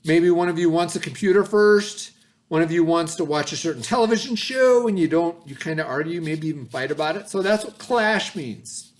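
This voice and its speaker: A man's voice: on the high side, expressive. Patient male teacher explaining vocabulary. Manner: patient, explains slowly with everyday examples; checks in often with "okay" and "right". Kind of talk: recorded classroom lesson for students at home.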